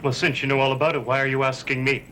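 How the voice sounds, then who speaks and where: A man's voice: medium pitch, level tone, rapid-fire, a little hiss or hum, natural voice. Actor as sullen, defiant young man. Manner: sullen, sarcastic. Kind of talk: gothic TV soap opera acting. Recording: old TV soundtrack, very loud music.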